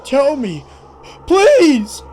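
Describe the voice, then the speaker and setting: A performer voicing a confused, earnest young unicorn colt with halting, plaintive delivery in a fan comic dub. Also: high voice, huge pitch swings, darkish tone, slight background noise, heavily acted. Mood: confused, pleading.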